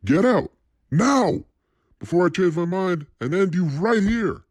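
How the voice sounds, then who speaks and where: A man's voice: sits high, lively intonation, unhurried, darkish tone, no echo, clean studio sound. A man playing a cold, menacing crime boss in an audio drama, commanding and threatening. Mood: furious, threatening. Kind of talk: dramatic audio scenario acting.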